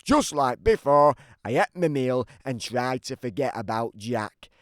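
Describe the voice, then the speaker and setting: A man's voice: medium pitch, animated, measured pace, no echo, clean studio sound, natural voice. Man voicing a grumpy storybook giant, swooping delivery. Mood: grumbling storytelling. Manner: theatrical, emphatic storybook delivery. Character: grumpy, aggrieved, always-hungry big giant telling his side. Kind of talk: scripted children's storybook narration.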